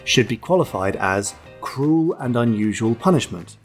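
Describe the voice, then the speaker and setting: Male podcast host, mid-pitched, wry and theatrical, expressive melody, light-hearted segment reading. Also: moderate pace, soft background music.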